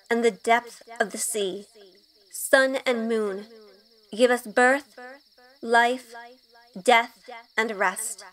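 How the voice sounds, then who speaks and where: A woman playing an earnest, sweet young witch heroine who narrates an audio drama in a gentle, measured way. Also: fairly high pitch, big-room sound, rough recording. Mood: solemn.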